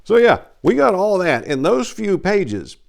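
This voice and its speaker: A man's voice: sits high, big pitch swings, measured pace, creaky. A man hosting a story channel, chatting casually and enthusiastically to his listeners. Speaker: host and reader of a story channel.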